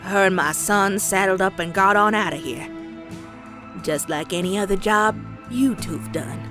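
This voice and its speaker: A woman voicing a stern, bitter old farm grandmother pony in a comic dub, with a Southern US accent and folksy, deliberate delivery. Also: medium pitch, natural voice. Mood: grave, confessional. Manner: folksy, slow, deliberate storytelling. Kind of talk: dramatic character acting in comic dub. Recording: dramatic music behind.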